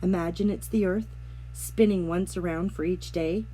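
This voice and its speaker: A woman voicing a dreamy, curious young girl in a storybook, speaking softly as if to herself. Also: lowish voice, fairly even intonation, moderate pace, slight background noise, lightly acted, rough recording. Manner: soft, wondering, talks as if to herself.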